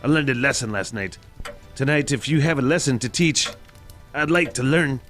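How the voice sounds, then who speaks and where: An actor playing an uneasy, earnest old miser in an audio drama. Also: male voice, mid-range voice, moderate pace, a bit bright, faint music behind, close to an everyday voice. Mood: humbled, earnest.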